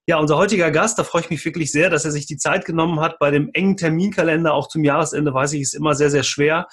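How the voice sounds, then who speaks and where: Husky-voiced male podcast host. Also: fairly high pitch, fairly even intonation, quick pace, fairly bright tone. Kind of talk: scripted podcast introduction and interview questions.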